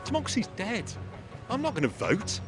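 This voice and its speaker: A man's voice: high voice, wildly swooping pitch, moderate pace, over music. A man interviewed outdoors as a voter, speaking in an indignant, insistent way.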